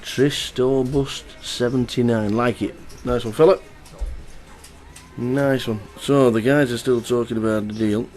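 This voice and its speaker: A man's voice: mid-range voice, fairly even intonation, measured pace, a little mellow, faint music behind. A man chatting casually as a live poker commentator, playful and repetitive. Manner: chatty, playful, repeats himself.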